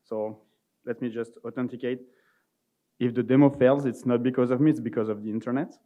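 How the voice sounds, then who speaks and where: A man giving an informal, somewhat halting live product demo as a conference presenter. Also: mid-range voice, fairly even intonation, dull audio.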